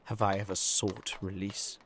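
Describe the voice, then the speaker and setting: A man's voice: on the low side, little pitch movement, unhurried, a bit bright, a little hiss or hum. An actor playing a proud, tormented Victorian man with an anguished, dramatic delivery. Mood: defensive.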